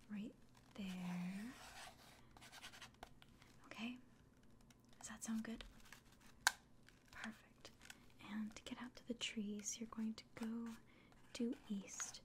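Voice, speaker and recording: A woman playing a kindly, caring innkeeper in an ASMR fantasy roleplay, whispering gently and reassuringly. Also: mid-range voice, a little hiss or hum, natural voice. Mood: helpful, instructive.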